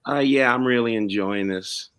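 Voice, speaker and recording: A man's voice: mid-range voice, normal speed, fairly bright tone, clear, resonant tone. A man chatting casually as he introduces himself for an audition, with an eager manner. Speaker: auditioning singer-songwriter. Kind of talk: casual chat, self-introduction.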